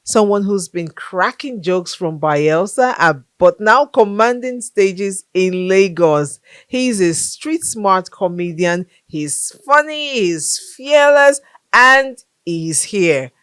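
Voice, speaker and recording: A woman's voice: medium pitch, animated, slow pace, a bit bright, smooth, dry recording, studio quality. A woman hosting a casual podcast interview, bubbly and teasing, laughing often. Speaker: podcast host.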